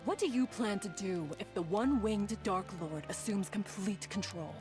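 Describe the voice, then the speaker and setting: A woman's voice: mid-range voice, lightly acted. A woman playing a serious, weary young female soldier, earnest and plain-spoken, in an English game dub.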